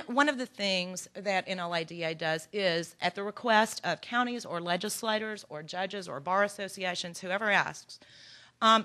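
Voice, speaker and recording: A woman's voice: mid-range voice, sharp tone. A woman from Texas with a Texan accent, giving an earnest, encouraging workshop presentation with a folksy manner. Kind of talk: conference presentation. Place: conference room.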